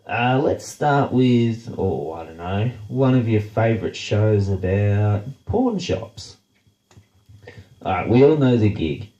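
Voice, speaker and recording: Unhurried Australian host with a head cold, nasal and blunt, swearing his way through a sarcastic rant. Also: on the low side, animated, warm tone, reverberant, lo-fi sound. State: congested, nasal from a blocked nose. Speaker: show host. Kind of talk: casual profane rant.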